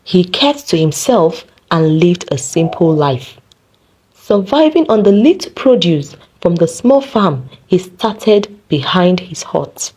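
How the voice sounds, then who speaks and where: A woman narrating a scripted folktale in a dramatic, deliberate storytelling manner. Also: on the low side, big pitch swings, darkish tone, husky, low-quality recording.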